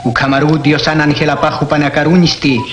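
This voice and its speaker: A man narrating a Biblical radio drama in a measured storytelling manner. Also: on the high side, little pitch movement. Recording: echoey with loud music bed.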